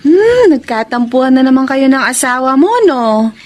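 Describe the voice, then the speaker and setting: A very high, youthful voice of a caring Filipina younger sister in a radio soap. She speaks with earnest reproach, probing and pleading in a frank way. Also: animated, normal speed, a little mellow. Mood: curious, probing. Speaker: radio drama actress. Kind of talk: melodramatic Filipino radio drama acting.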